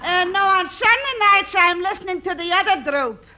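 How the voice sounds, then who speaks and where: Very shrill, opinionated housewife. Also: female voice, expressive, normal speed, a faint crowd, exaggerated voice. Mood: deadpan. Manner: mangled syntax, emphatic, dramatic comic delivery. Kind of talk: 1940s radio comedy acting. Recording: noisy 1940s radio broadcast, loud studio audience.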